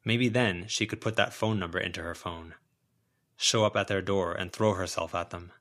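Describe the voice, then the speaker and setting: A male audiobook narrator with a fairly low voice. He reads scripted fiction as a storyteller. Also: a bit bright.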